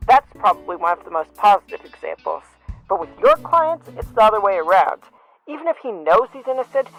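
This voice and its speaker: Mentor lawyer, female performer: experienced, calm, reassuring, average pitch, audio drama over light music. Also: low-quality recording. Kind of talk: fan audio drama acting.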